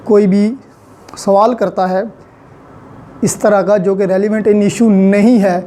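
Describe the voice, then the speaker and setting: A man with a Pakistani accent giving an explanatory law lecture, deliberately repeating and stressing key points and mixing in English legal terms. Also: high-pitched, dark tone, a little hiss or hum.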